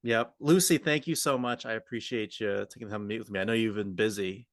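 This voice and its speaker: A man's voice: fairly low pitch, steady tone, quick pace, no room sound, studio quality. A man co-hosting a coaching discussion, talking informally and thinking aloud as he goes. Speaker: podcast co-host and communication coach. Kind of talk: conversational coaching discussion with roleplay.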